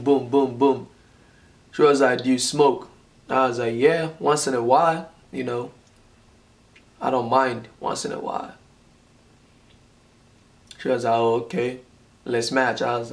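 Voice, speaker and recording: A man's voice: on the high side, a bit bright. A man in his twenties from Nigeria, telling a personal story to the camera in a casual vlog, repeating phrases for emphasis. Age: 20s. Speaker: vlogger. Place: small indoor room, seated at home. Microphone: camera in front, close framing.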